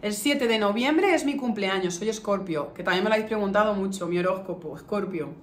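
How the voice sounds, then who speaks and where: A woman in her early thirties talks in a casual, affectionate vlog style with a Castilian accent from La Mancha. Her voice sits at a middle pitch, and she repeats words for emphasis. The room is clearly echoey, with a little background noise. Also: a little fast. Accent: Castilian Spanish from La Mancha. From Albacete, La Mancha, Spain. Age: early 30s. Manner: chatty, affectionate, emphatic with repetitions. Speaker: beauty vlogger. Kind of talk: casual chat to camera, answering viewer questions.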